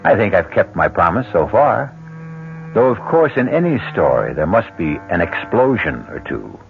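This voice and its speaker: A man hosting a radio mystery drama as a wry, philosophical narrator, musing and deliberate in his delivery. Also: low voice, very animated, vocal fry, full character voice. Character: wry, philosophical male ghost-story host. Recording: muffled old radio broadcast over music.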